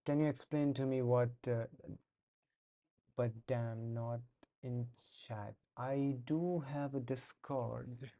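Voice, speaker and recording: Slow, hesitant Indian man. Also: medium pitch, steady tone, mellow. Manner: hesitant, many fillers and restarts. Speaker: streamer learning to code. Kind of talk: casual live-stream chat while coding.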